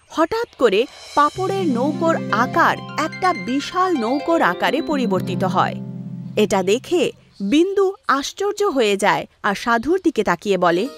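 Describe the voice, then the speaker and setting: A woman narrates a cartoon fairy tale in a high, very animated voice. Music plays clearly behind her scripted storytelling. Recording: voice over background music.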